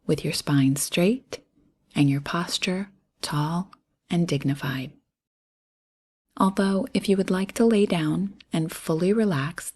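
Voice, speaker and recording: A low-voiced woman leads a guided meditation at a very slow pace. Her voice is soft and breathy, with airy edges to the words. She speaks gently and soothingly, leaving space between short phrases.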